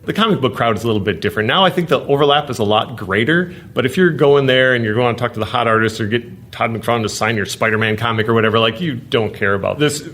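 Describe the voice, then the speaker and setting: A man on a game company's staff speaking in a documentary interview, telling stories with animated enthusiasm. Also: medium pitch, fast, few pauses.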